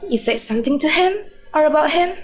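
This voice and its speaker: A woman's voice: high voice, lively intonation, faint music behind. A woman playing a sardonic wife who questions her husband in a radio drama. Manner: sarcastic, deliberate, questioning.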